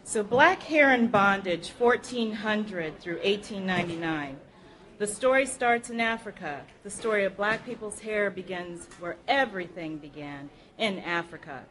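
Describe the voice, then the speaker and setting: A woman's voice: mid-range voice, animated, unhurried, a bit bright, rough recording. Woman reading aloud at a live event. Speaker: reader at a public library program. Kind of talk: reading book excerpts aloud to an audience.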